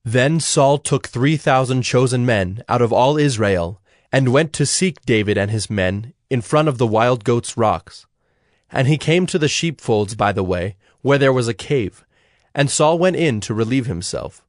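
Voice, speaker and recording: A man reading scripted Bible story narration in a measured, solemn manner. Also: mid-range voice, lively intonation, no room sound, clean studio sound.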